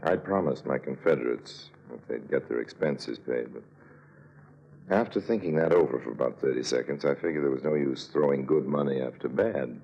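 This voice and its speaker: A man playing a suave, sardonic con artist in a 1950s radio drama, with wry, theatrical, deliberate phrasing. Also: a bass voice, gravelly, dark tone, lo-fi sound, heavily acted. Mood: smug, wry.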